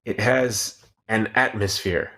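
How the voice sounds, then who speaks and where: A man playing a calm, curious doctor on a space expedition, musing aloud in a radio play. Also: lowish voice, slow, pitched below the performer's own voice. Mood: musing, fascinated. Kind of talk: cold-read sci-fi radio play acting.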